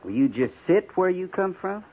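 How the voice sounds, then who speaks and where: An actor playing a blunt, grumbling cavalry sergeant with a rustic way of talking in a 1950s radio western. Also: male voice, sits high, very animated, normal speed. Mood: exasperated. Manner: rustic, colloquial. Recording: tinny 1950s radio broadcast recording.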